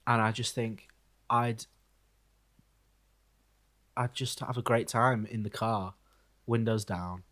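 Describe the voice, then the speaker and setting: A man co-hosting a music podcast, giving his opinions in casual chat with wry, deadpan humour. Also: lowish voice, slow, long pauses.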